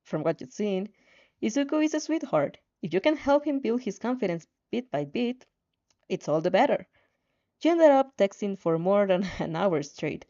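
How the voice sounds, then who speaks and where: A woman's voice: medium pitch, big pitch swings, normal speed, warm tone. A woman narrating fan fiction expressively, with casual reactions, on a slightly dull, lo-fi recording.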